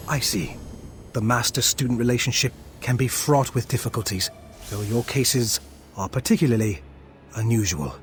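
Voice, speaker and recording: An actor playing a courteous, caring warrior monk in a fantasy video game, with formal, expressive speech. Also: male voice, mid-range voice, moderate pace, a little hiss or hum, natural voice. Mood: grave, sympathetic. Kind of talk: scripted game dialogue. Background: game music.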